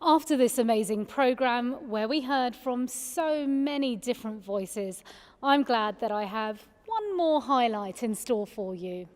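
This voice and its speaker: Higher-voiced woman hosting, long reverb. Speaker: event host. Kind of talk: scripted event presenting.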